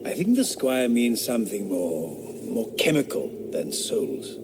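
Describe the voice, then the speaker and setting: An actor playing a sardonic eighteenth-century philosopher who mocks the ghost hunt with dry, scornful wit. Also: male voice, lowish voice, sing-song, measured pace, noisy background. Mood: wry. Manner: dry, mocking, skeptical. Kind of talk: radio drama acting. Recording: audio drama with woodland ambience.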